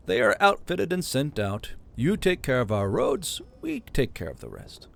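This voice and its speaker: Man reading smug male city lord, nonchalant, gloating, lively pitch, gravelly, audiobook. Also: moderate pace, heavily acted.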